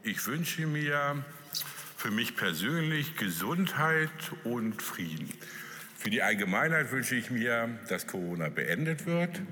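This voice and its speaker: A man speaks slowly and deliberately in a somewhat low voice. His tone is bright with a lively melody. His words carry a long reverb. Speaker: interviewee. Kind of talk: personal statement.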